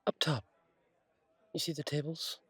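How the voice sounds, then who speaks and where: A man playing a friendly, courteous angel bartender in an intimate roleplay audio, speaking gently with thoughtful pauses. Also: fairly high pitch, flat delivery, breathy edge, dry recording. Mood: warm, reassuring. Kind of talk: intimate roleplay audio acting, one side of a conversation.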